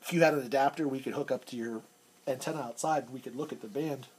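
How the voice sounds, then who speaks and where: A man's voice: medium pitch, fast, a little mellow. A man giving a casual, hands-on technical demonstration, explaining informally and sometimes trailing off. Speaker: radio hobbyist demonstrator. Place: cluttered indoor radio workshop. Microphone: handheld camera, off screen. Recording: noisy room, handheld camera recording.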